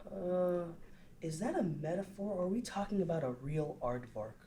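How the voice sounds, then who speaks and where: A woman's voice: lowish voice, expressive, moderate pace, dark tone, a little hiss or hum, natural voice. A woman voicing a dry, teasing older brother in a casual table read. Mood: wry. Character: teenage older brother, wry and protective. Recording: roomy sound, away from mic.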